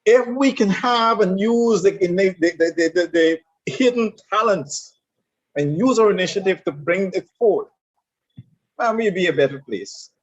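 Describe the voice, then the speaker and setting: A man's voice: high-pitched, a little slow. A man with a Caribbean English accent who talks in an emphatic, repetitive, lecturing way in a conversational discussion. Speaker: guest speaker on leadership. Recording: dull lo-fi sound.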